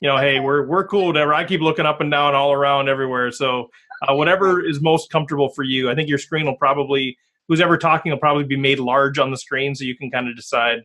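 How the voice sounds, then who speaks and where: A man's voice: mid-range voice, fairly even intonation, fast talker, pushed and bright. A man hosting a podcast interview, joking and rambling with many restarts. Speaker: podcast host. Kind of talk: casual interview.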